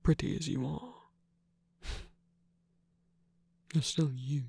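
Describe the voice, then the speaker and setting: Casting: tender, teasing villain sweetheart for romantic ASMR performer of unclear sex, very husky half-whisper with a creaky edge. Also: medium pitch, slow pace, no room sound, full character voice. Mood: tender, reassuring. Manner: tender, soft-spoken, teasing. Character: affectionate villain lover, battle-scarred, gently scolding and reassuring. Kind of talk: intimate ASMR romantic roleplay.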